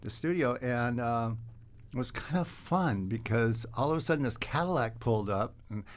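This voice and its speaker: A man speaking as an interview guest, telling casual stories in a halting, rambling way. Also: medium pitch, lively intonation. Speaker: guest, actor.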